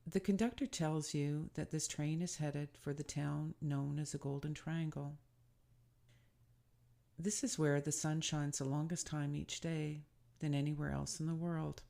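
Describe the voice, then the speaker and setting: A woman speaking as a spiritual guide, leading a scripted guided meditation in a soothing, deliberate and gently instructive manner. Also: low-pitched, steady tone, gravelly.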